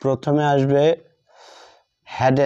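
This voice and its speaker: Slow-talking man teaching, mellow voice. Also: medium pitch, little pitch movement, clean tone. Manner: deliberate, repeats phrases, asks and answers own questions. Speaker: English grammar teacher. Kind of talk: step-by-step classroom lesson. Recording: dull lo-fi sound.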